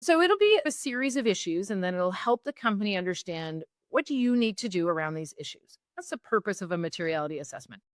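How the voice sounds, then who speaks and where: A woman's voice: mid-range voice, sing-song, fast talker, few pauses, no echo, studio quality. A woman guest on an interview podcast, a sustainability consultant explaining her ideas step by step in a reflective, conversational way.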